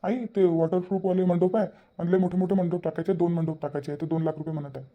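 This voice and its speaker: A man's voice: high-pitched, steady tone, fast, phone-quality sound. A man voicing a polite, matter-of-fact younger brother in a cartoon family drama, with a Varhadi Marathi accent. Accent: Varhadi (Vidarbha) Marathi. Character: helpful, polite younger brother running errands.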